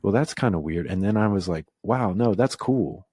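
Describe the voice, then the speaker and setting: A man with a very low voice, speaking as a guest on a podcast. He grew up in the American South and talks in a halting, reflective way, full of "like" and "um". The sound is dry and close, with no room around it. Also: expressive, a little mellow, husky, no echo. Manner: reflective, halting, filler-heavy. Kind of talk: casual conversation.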